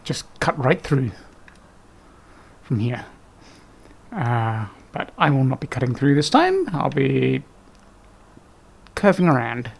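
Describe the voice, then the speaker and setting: A man's voice: mid-range voice, sing-song, takes it slowly, vocal fry, pauses often, slight background noise. A man giving casual, unscripted gameplay commentary, musing to himself as he plays. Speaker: gameplay video host. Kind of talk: casual let's play commentary.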